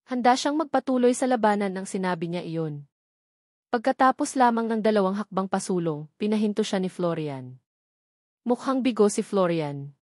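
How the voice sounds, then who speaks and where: Woman, audiobook narrator, scripted novel reading, mid-pitched voice, dry close recording. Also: expressive, moderate pace, darkish tone, no room sound.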